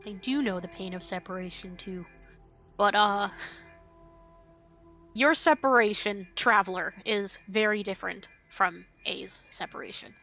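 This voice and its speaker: A woman's voice: mid-range voice, a little slow, pushed and bright, highs cut off. A woman livestreaming a game with casual commentary, reading dialogue aloud and muttering to herself. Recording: faint game music behind the voice. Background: game music.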